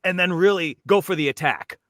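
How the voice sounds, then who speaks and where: A man working as a voice actor, coaching casually in an animated, demonstrative way. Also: high voice, a little fast, no room sound, studio quality. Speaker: professional voice actor giving coaching. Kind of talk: casual instructional chat with demonstration reads.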